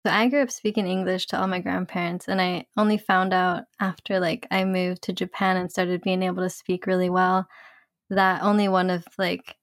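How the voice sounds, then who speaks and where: A woman's voice: lowish voice, little pitch movement, normal speed, no room sound, clean studio sound. A young adult woman, a podcast guest, telling personal stories casually with many filler words. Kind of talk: casual conversation.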